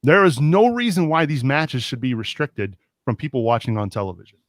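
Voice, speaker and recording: A Midwestern American man hosts a casual sports talk show with a middle-pitched voice. He gets heated and animated as he rants. The sound is dry and close to the mic. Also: a little fast, no echo. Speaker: podcast host.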